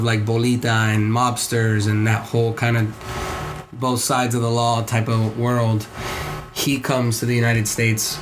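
A man with a Puerto Rican accent, a podcast guest telling a story casually, with many restarts and voiced quotes of others. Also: noisy background. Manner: rambling with frequent restarts, voices others in quotes with higher pitch.

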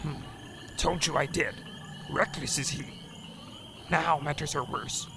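Tiny ancient alien sage, super croaky, slow backwards phrasing, stern mentor, noisy audio drama. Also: male voice, fairly high pitch, fairly even intonation. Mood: gloomy. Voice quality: gravelly, croaky. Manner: inverted word order, slow deliberate speech. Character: ancient small wise male alien Jedi master, stern mentor.

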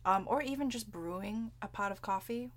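A woman's voice: mid-range voice, normal speed. A young woman with Cantonese as her first language, co-hosting a podcast in a chatty, giggly way and swearing casually. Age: young adult. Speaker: podcast co-host. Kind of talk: casual chat.

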